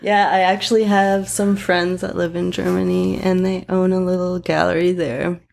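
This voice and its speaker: Low, gravelly-voiced young woman. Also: normal speed. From grew up between Thailand and Prince Edward Island. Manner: relaxed, laughs often between thoughts. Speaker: guest artist. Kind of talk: casual podcast chat.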